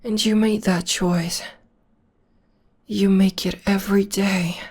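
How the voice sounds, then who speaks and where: Soothing young witch girlfriend, voiced by a woman, breathy slow half-whisper, close mic ASMR. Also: mid-range voice, flat delivery. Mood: tender, reassuring. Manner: soft half-whispered comforting. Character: gentle young witch girlfriend, devoted, reassuring. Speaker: voice actor. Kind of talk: ASMR audio roleplay.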